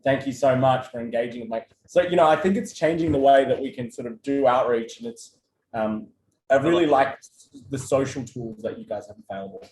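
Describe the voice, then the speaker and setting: Male interviewee, mid-pitched, chatty and hesitant, recorded in a roomy space with faint background hum. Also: fairly quick, a little mellow. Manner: conversational, many fillers and restarts. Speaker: agency co-founder giving customer feedback. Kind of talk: casual interview answers. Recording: echoey room with faint ambience.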